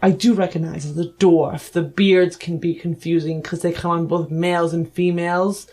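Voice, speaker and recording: A man's voice: fairly high pitch, level tone, normal speed, darkish tone, faint music behind. A man voicing a haughty dwarf noble with pompous, formal, clipped phrasing in a tabletop roleplaying game. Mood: indignant, proud.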